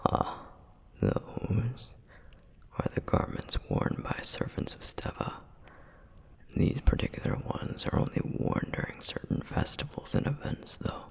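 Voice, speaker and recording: A duty-bound flame demon, played by a man, murmurs his world's lore in a husky, gravelly whisper, taking his time over each phrase. His slow, unhurried delivery still rises and falls widely in pitch. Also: huge pitch swings, exaggerated voice. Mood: hushed, musing. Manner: soft whispered storytelling. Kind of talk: ASMR roleplay.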